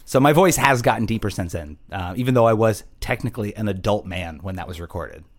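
A man's voice: lowish voice, lively intonation, fairly quick. A man chatting casually about his old music, self-deprecating and laughing. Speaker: podcast guest musician. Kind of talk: casual chat.

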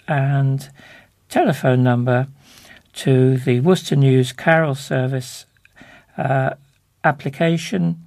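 A man presenting local information, reading out listings and phone numbers in measured, deliberate short phrases. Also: mid-range voice, vocal fry, a little mellow, no room sound.

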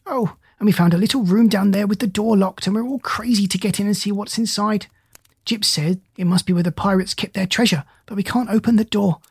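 A woman voicing an excited, chattering female duck in a children's storybook, with breathless, gushing enthusiasm. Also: mid-range voice, moderate pace, hardly any pauses, husky, no room sound, pitched above the performer's own voice. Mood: eager, excited.

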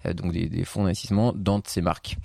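A man's voice: deep voice, flat delivery, fast, vocal fry, a little mellow, no echo, clean studio sound. A man in his forties from southeastern France, a podcast guest talking in a hesitant, filler-heavy way. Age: 40s. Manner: hesitant, many fillers, laughs often. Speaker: podcast guest, investment fund head. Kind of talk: casual interview.

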